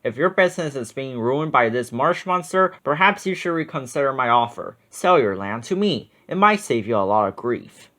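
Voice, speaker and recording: A man voicing a smug, scheming rich businessman in a storybook, with a condescending, smooth-talking manner. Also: mid-range voice, expressive, normal speed, few pauses, bright, forceful tone.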